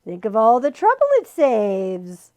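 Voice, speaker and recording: A woman's voice: a little high, wildly swooping pitch, a little slow. Woman voicing a shrieking, whispering petty demon. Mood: sly persuasive. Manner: shrieking, then soft deceitful whisper. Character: scheming male demon of petty tasks.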